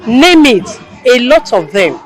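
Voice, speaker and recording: A man giving an interview answer, slow and emphatic, rising on key words. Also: extremely high pitch, very animated, a faint crowd. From Nigeria.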